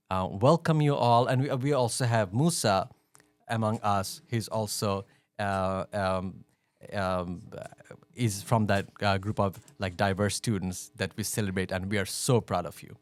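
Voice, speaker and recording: Halting man host with a smooth, low voice. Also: no room sound. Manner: halting, with many fillers. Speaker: host, school staff. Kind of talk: podcast hosting.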